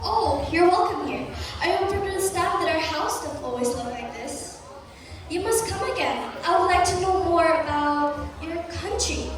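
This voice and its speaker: A woman's voice: very high-pitched, moderate pace, crowd noise. A young woman acting a hospitable, proud, chatty middle-aged American mother in an amateur stage play. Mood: welcoming, chatty. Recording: echoey hall from far off.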